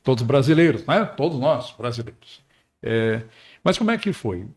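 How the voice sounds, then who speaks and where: Gravelly-voiced male podcast host. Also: mid-range voice, sing-song, normal speed. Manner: chatty, adds asides and tag questions. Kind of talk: casual interview questions.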